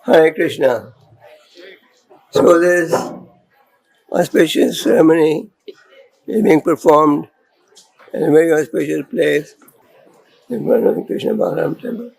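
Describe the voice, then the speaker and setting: This is an older man giving a ceremonial address. His voice is soft and worn, with a heavy gravelly rasp and a mellow, dark tone. He speaks in short, halting phrases and leaves room for a translator after each one. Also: a little high, lively intonation, poor audio. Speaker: swami giving initiation.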